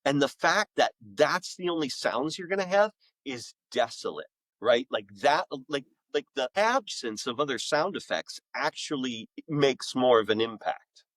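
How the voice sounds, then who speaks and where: A man in middle age with a medium-pitched voice, talking casually as a podcast guest. He tells stories with enthusiasm and dramatic emphasis, often repeating a word to land it. The sound is close and dry. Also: expressive, fairly bright tone, dry recording, low-quality recording. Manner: enthusiastic, emphatic storyteller with dramatic pauses and repetition. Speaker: author and voice-acting school director, podcast guest. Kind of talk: casual, animated interview chat.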